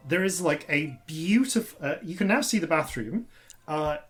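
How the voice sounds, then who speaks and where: A man with an RP accent that slips toward Yorkshire, narrating a tabletop game as its dungeon master. His voice sits a little high for a man, and he describes scenes in a halting way, full of "uh" and "like". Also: expressive, faint music behind. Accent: RP with Yorkshire traces.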